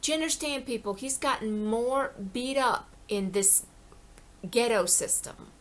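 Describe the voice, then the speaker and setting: A woman's voice: fairly high pitch, a little slow. Woman, middle-aged, aggrieved monologue, rambling, repeats words, pleading. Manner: rambling, repeats herself, many "you know"s. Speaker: vlogger. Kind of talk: impassioned personal monologue.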